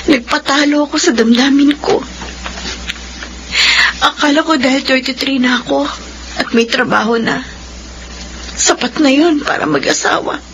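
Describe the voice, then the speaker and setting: A woman's voice: high voice, background noise. An actress playing a hurt but resolute mother in her thirties, with a Filipino accent and an earnest, dramatic delivery in a radio drama. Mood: regretful, tearful. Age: 30s. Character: hurt, resolute young mother of thirty-three, regretful wife. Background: prominent dramatic music.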